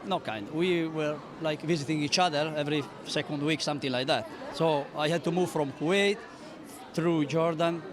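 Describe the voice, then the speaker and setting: A man from Verona telling a relaxed story in a street interview, with fillers. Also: on the high side, moderate pace. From Verona, Italy. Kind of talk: casual interview answer. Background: crowd chatter.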